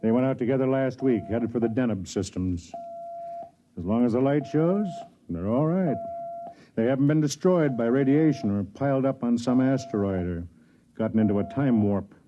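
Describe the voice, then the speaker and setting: An actor playing a kindly, self-deprecating older man, a senior officer who also narrates, in 1950s radio drama style with a measured, explanatory manner. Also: mid-range voice, noisy background, natural voice. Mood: grave, explanatory. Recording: dull 1950s radio broadcast, music behind.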